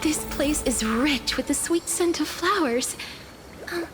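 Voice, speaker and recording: A woman playing a gentle, dreamy young girl in a fantasy game, speaking softly and tentatively. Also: high-pitched, slow pace, over loud music. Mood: dreamy, wistful. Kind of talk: scripted game voice acting.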